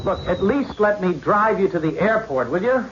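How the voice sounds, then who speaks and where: A man's voice: sits high, expressive, moderate pace. An actor playing an insistent, lovesick and worried man in a 1970s radio drama. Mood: coaxing, anxious. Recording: dull, noisy old radio broadcast.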